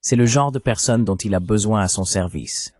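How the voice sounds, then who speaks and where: A man's voice: lowish voice, normal speed, a little mellow. A man's AI dubbing voice giving a psychologist's answers in an interview, as a translated voice-over.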